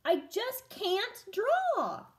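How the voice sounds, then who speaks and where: A woman's voice: very high voice, huge pitch swings, drawn-out words, pitched above the performer's own voice, full character voice. A woman voicing a discouraged, stubborn little schoolgirl in a storybook, sulky and emphatic. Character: discouraged, stubborn little schoolgirl who doubts her drawing.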